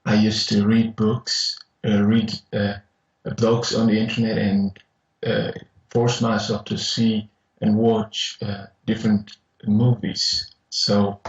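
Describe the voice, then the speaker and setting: A university teacher calling in, speaking haltingly with many restarts. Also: male voice, fairly low pitch, level tone, reverberant, distant mic, lo-fi sound. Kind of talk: caller in a chat.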